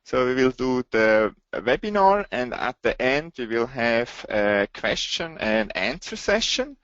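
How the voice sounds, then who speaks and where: A man with a Swiss accent giving a technical webinar presentation, speaking in a halting way with frequent hesitations. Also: mid-range voice, a bit bright, no echo. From Switzerland. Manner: halting, with many hesitation fillers. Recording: dull, dry lo-fi audio.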